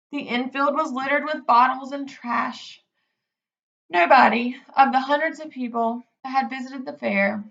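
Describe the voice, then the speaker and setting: Woman narrating a kids book out loud, expressive, fairly high voice, audio a bit muffled. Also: creaky. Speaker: read-aloud narrator.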